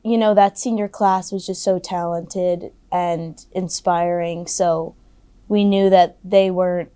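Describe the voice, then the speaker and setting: A woman's voice: on the low side, fairly even intonation, slow pace, dark tone, smooth, no echo. A woman college lacrosse player giving chatty interview answers full of fillers. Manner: chatty, many likes and fillers.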